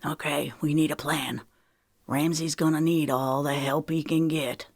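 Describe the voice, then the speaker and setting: A woman voicing a remorseful, protective older man who grumbles gruffly, in an audiobook reading. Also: low voice, steady tone, measured pace, dry recording, studio quality, exaggerated voice. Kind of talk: audiobook character voice.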